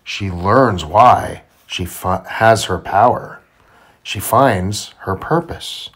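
Slow, low-voiced man reading a storybook. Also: a bit bright, slightly rough. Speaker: father reading storybook aloud to his child. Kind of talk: children's read-aloud narration.

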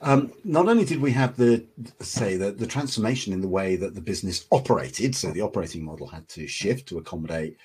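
A middle-aged man hosting a webinar over a video call, explaining in a measured way. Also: sits low, breathy edge. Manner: measured, explanatory, lively intonation. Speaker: webinar host. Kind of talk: webinar moderation. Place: home office, video call.

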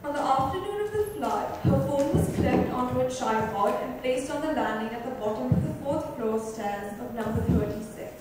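A woman's voice: high voice, animated, normal speed, hardly any pauses. A woman reading literary narration dramatically before a microphone. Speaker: reader. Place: club lounge, indoors. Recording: echoey hall, distant mic, background noise.

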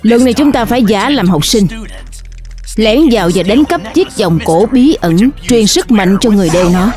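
A woman's voice: mid-range voice, expressive, moderate pace, darkish tone. A woman reading scripted cartoon dialogue and narration as a dub voice artist. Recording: music under the voice.

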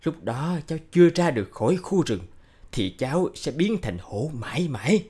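A man playing a grave, compassionate old village elder in an animated folk tale, speaking in a measured, solemn way. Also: a little high, husky. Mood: grave warning.

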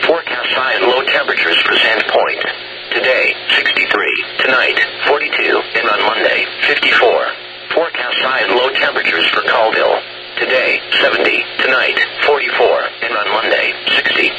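A man's synthetic text-to-speech voice, formal and mechanical with clipped phrasing, reading a scripted weather bulletin as a radio announcer. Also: mid-range voice. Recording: very noisy weather radio stream.

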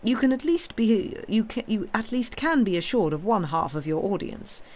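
A woman reading aloud, voicing a calm, polite young gentleman who smooths things over. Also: mid-range voice, normal speed, pitched above the performer's own voice, close to an everyday voice. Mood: courteous.

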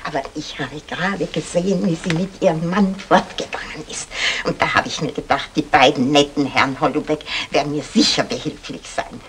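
A woman's voice: low voice, fairly even intonation, brisk, few pauses. An actress playing a chatty, flustered, lonely neighbour woman, over-polite and hesitant, in a German radio comedy. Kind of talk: German radio crime comedy acting, 1960s. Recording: noisy old 1960 radio recording.

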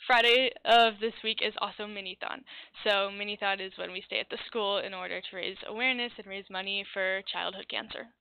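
A teenage girl gives an orderly report on school events in an earnest way. The sound is thin and narrow, like a phone line, with no room echo at all. Her pitch sits at a middle level. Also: steady tone, brisk, vocal fry. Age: teens. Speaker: student representative. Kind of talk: giving a report. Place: meeting room.

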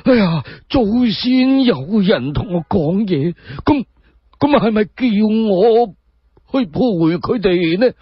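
A man voicing a frail, sorrowful old sage in Cantonese for a radio drama, drawn-out and halting. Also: high voice, animated, slightly rough, no echo, highs cut off, full character voice. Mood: troubled, fearful. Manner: drawn-out, halting, repeating words.

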